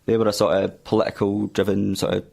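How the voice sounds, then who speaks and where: Fife guitarist guest, Scottish accent, low gravelly tone, easygoing chat. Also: male voice, fast talker. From Fife, Scotland. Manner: relaxed, says aye, wee. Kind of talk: casual radio interview. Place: radio studio.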